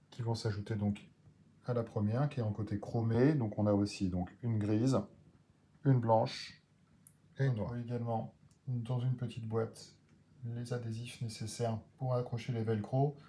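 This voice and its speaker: Male product presenter, medium pitch, relaxed tone, methodical unboxing explanations, slight background noise. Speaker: motorcycle gear video creator.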